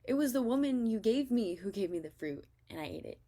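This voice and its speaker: A woman's voice: on the high side, sing-song, brisk, mellow, pitched above the performer's own voice. A woman voicing a fearful, defensive man shifting the blame in a Bible story, speaking emphatically.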